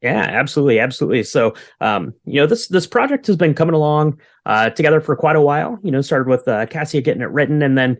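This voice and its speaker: A man's voice: fairly high pitch, lively intonation, fast, hardly any pauses, no room sound, clean studio sound. A man hosting a podcast, interviewing a guest in a casual, conversational way.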